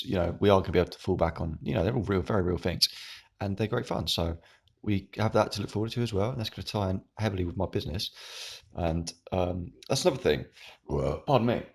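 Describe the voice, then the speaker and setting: A young man with a croaky, hoarse voice, rambling through a casual monologue to camera at home. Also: low voice. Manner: rambling, meandering. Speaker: YouTuber.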